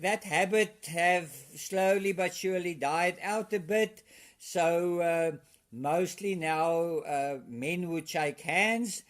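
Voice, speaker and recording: A middle-aged South African man with an Afrikaans accent, a guest in a casual interview, talking haltingly with many fillers and restarts. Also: on the high side.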